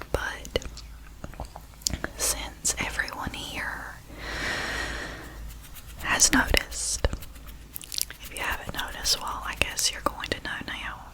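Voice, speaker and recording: A young Southern woman speaks entirely in a soft, breathy whisper, close to the microphone. She takes her time and lingers on each phrase. She loops single words again and again in an easy drawl. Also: poor audio. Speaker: ASMR creator. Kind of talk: whispered ASMR.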